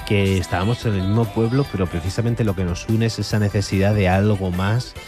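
A man's voice: fairly low pitch, steady tone, a little fast, music in the background. A man in his thirties from Almería, a novelist chatting on a radio interview, restarting often and laughing easily. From Almería, Spain. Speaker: novelist guest.

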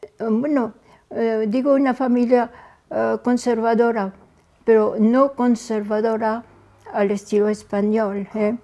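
A woman's voice: a little high, steady tone, a little slow, warm tone. An older woman from France with a French accent, a native French speaker, reminiscing as an interview guest. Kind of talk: reminiscing in conversation.

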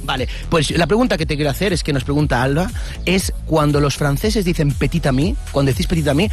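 A man from the Canary Islands interviewing people on the street for radio, chatty and joking with quick exclamations. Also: fairly high pitch, faint music behind. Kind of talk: street vox-pop interview. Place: street outdoors. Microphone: handheld reporter mic.

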